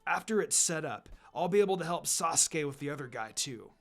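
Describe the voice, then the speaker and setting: A man voicing a brash, confident young boy ninja in a story reading, with a cocky, excitable manner. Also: a little high, brisk. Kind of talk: fan fiction reading with character voices. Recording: music behind the voice.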